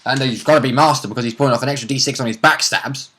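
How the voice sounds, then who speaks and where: A man's voice: mid-range voice, very fast, bright, forceful tone. A man chatting casually and bluntly as a player recapping a tabletop game.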